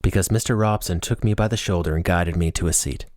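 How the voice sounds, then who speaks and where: A man's voice: low voice, quick pace, a little mellow, no echo, clean studio sound. A man reading a first-person novel as scripted audiobook narration.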